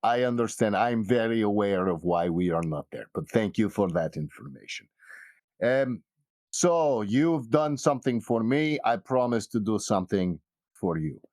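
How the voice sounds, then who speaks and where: A man voicing a polite fixer in a tabletop game, speaking formally and hesitantly in measured phrases. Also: on the low side, lively intonation, darkish tone, no room sound, clean studio sound. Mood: measured, courteous. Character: polite, thick-accented male fixer who spells out names.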